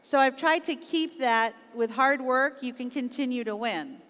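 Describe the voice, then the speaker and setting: High-voiced Canadian woman in a big hall. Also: moderate pace, a bit bright. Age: middle-aged. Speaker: conference keynote speaker, business executive. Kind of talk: motivational keynote talk. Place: on stage in a large auditorium. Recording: echoey large hall, long reverb.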